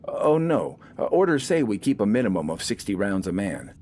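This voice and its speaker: A meek young farm boy turned soldier, played by a male narrator, hesitant and apt to stammer. His voice is raised well above the narrator's usual, in a war audio story with some rumble behind it. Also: very animated, normal speed, darkish tone. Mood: anxious. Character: nervous, humble young farmhand conscript, male.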